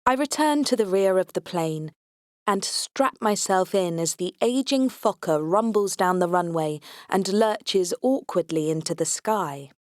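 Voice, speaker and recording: A woman's voice: medium pitch, measured pace, a little mellow, no echo, studio quality. A woman reading a science fiction comedy audiobook aloud in the first person, with a wry, tongue-in-cheek delivery. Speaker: audiobook narrator.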